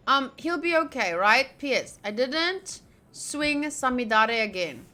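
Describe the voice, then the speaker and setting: A woman's voice: high voice, very animated, unhurried, pushed and bright. A woman streaming a game, reading its text aloud and chatting casually with playful asides.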